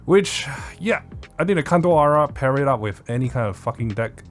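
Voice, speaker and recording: A man's voice: mid-range voice, animated, brisk, faint music behind. A man giving a casual product review in a blunt, opinionated, casually sweary manner. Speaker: tech reviewer.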